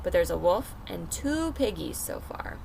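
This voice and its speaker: A woman reading a picture book aloud like a teacher, pausing to ask and answer simple questions for young children. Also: on the low side, big pitch swings, creaky, a little mellow, slight background noise, low-quality recording. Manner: simple explanatory talk, asking and answering questions.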